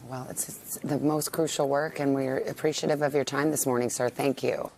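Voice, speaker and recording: A woman's voice: a bass voice, fairly even intonation, normal speed, darkish tone. An American woman anchoring a morning news interview in a polished, serious and probing manner.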